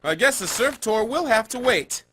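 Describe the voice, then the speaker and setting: A man voicing an excitable penguin surf reporter in a video game, delivering dramatic sports news like a surfer dude. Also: very high-pitched, normal speed, husky, full character voice. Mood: dismayed. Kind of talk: TV sports news broadcast parody. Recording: loud music behind voice.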